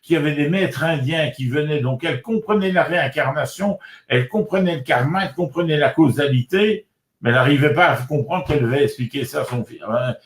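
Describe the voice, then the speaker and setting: A man in his sixties, born in Congo, talking as an author and guest in a relaxed spiritual chat, often repeating words and restarting. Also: on the high side, a little fast. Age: 60s. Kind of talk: casual spiritual conversation. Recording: roomy echo.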